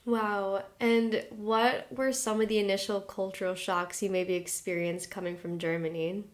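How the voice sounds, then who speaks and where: A woman speaks as a podcast host in an interview. Her voice sits at an average pitch for a woman, and she talks in the manner of someone presenting a show and putting questions to a guest. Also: little pitch movement, normal speed.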